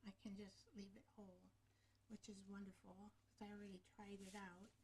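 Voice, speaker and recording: A woman with a soft, low voice hosting a relaxed craft-along show, chatting to herself as she works, picked up by a camera microphone right in front of her. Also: fairly quick, a little hiss or hum, rough recording. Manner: soft, low-voiced, thinking aloud while working.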